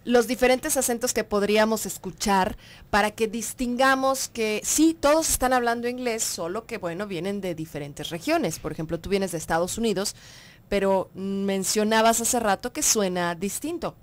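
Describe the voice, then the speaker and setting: A young woman from Mexico hosts a morning radio talk show in lively Mexican Spanish. She chats easily, asks her guest questions and calls out greetings to listeners, close on a studio mic. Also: medium pitch, moderate pace. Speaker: radio host. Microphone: studio microphone on a boom.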